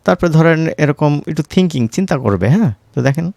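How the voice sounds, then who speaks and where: A man's voice: a little high, lively intonation, brisk, vocal fry, a little mellow, no echo. A man with a Bangladeshi Bengali accent giving an informal tutorial, conversational and often checking in with short question tags. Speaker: tutorial instructor. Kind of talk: informal screen-recorded software tutorial.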